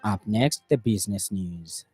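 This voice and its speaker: A man with a Zambian accent reading a scripted radio news bulletin in a formal, measured manner. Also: lowish voice, over loud music. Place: radio studio.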